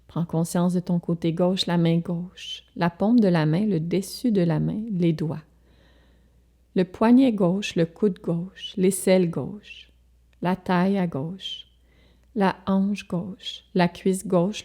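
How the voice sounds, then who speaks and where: A woman leading a guided relaxation as a yoga instructor, speaking calmly and soothingly in short, measured phrases with pauses. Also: on the low side.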